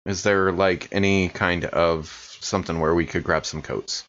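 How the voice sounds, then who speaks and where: A man's voice: low-pitched, steady tone, a little slow, fairly bright tone, highs cut off. A man playing a tabletop game, talking casually and improvising his character's actions.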